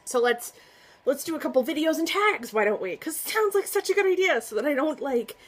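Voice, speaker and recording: A woman's voice: high voice, expressive, fairly quick. A woman talking casually to camera as a book vlogger, chatty and earnest, often trailing off and restarting. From United States. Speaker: booktuber. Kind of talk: casual book tag vlog.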